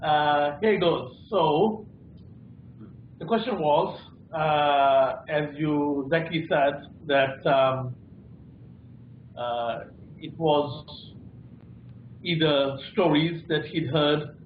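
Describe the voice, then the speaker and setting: A panellist on a call-in discussion show, a man speaking slowly and haltingly with many fillers. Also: on the high side, a little hiss or hum. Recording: tinny echoey phone-like line.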